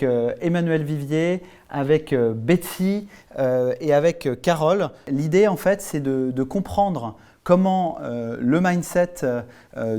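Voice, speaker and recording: A man hosting and moderating a business panel, halting and full of fillers as he introduces guests and asks questions. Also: fairly high pitch, dark tone. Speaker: host and founder. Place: studio.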